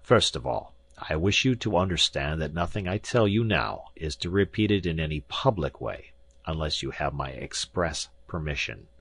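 Man playing a very deep, pompous, scornful professor. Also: animated, moderate pace, fairly bright tone, breathy edge, full character voice. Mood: haughty, lecturing. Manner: pompous, lecturing. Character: arrogant, booming, pompous male professor, scornful and imperious. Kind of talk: audiobook character acting.

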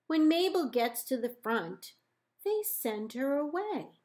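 Slow, higher-voiced woman reading a storybook. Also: sing-song, darkish tone. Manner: measured, deliberate phrasing with pauses. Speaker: librarian reading aloud. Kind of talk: read-aloud children's picture book narration. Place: indoors, book held up to camera.

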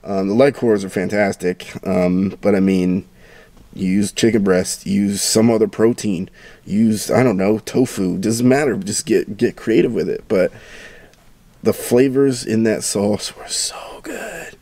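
A man's voice: lowish voice, measured pace, dark tone, dry recording. A man in his thirties giving casual, enthusiastic cooking tips with lots of ums, recorded close on a camera at arm's length. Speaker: home cooking vlogger. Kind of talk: casual cooking instructions and tasting. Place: parked car and home dining room.

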